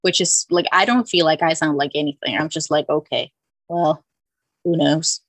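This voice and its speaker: Young woman, from Finland, podcast guest, lower pitch, dry recording, talks in loose self-deprecating bursts. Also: fairly even intonation, moderate pace, a bit bright, no room sound. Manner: chatty, self-deprecating, many restarts and fillers. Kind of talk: casual chat.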